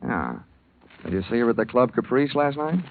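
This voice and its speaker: Wry hard-boiled American investigator, tinny recording. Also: male voice, mid-range voice, moderate pace. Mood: sardonic, pressing. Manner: wry, deadpan, sardonic. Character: wisecracking, hard-boiled male insurance investigator. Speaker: lead actor and narrator. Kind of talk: 1950s radio detective drama acting. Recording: tinny, noisy vintage radio recording.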